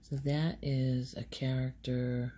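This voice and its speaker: A soft-spoken woman recording casual ASMR, rambling in a hushed voice and musing aloud as she goes. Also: extremely low pitch, level tone, takes it slowly, a little hiss or hum. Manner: hesitant, musing aloud, trailing off. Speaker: ASMR video creator.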